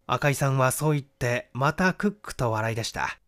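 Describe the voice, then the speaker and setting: A middle-aged man reading a literary mystery story as an expressive, dramatic audiobook narrator. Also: medium pitch, normal speed. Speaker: audiobook narrator, stage actor.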